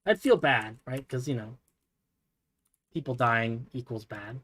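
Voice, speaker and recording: Soft-spoken man rambling on stream. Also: medium pitch, unhurried. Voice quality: soft, relaxing voice. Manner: rambling, self-deprecating, many fillers. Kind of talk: casual livestream chat while building.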